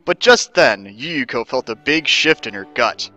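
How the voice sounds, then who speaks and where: A man's voice: mid-range voice, expressive, unhurried, sharp tone, faint music behind. A man reading a scripted story as narrator, in a mock-serious, theatrical dramatic style.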